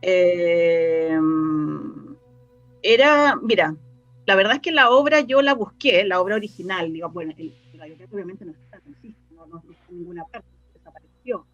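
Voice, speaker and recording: A woman's voice: lowish voice, expressive, normal speed, a bit bright. A Chilean woman answering interview questions conversationally, hesitant, searching for words and often repeating herself. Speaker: interview guest, scriptwriter and radio drama researcher. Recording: dull, lo-fi sound with faint music. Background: faint music.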